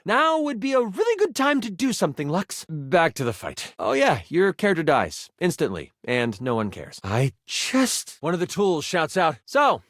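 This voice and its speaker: A man's voice: high voice, fairly bright tone, no echo, clean studio sound, exaggerated voice. An actor playing an exasperated, sardonic male game master, a living die in a video game, narrating with theatrical flair. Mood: sarcastic. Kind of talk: tabletop game narration with banter, video game voice acting.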